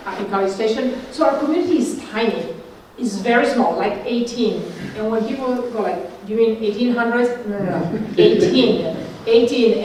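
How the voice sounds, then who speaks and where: A middle-aged religious sister with an Italian accent gives an informal, conversational talk to a group. Her voice sits at an ordinary pitch for a woman. It is picked up from a distance in a very echoey room, with faint noise behind her. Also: darkish tone. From Northern Italy.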